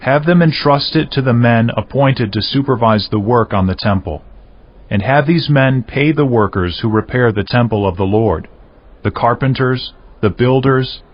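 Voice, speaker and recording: The sound is slightly dull and lo-fi. an ancient king of mid-range voice, issuing orders with earnest, deliberate authority, as in a dramatized scripture reading. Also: mid-range voice.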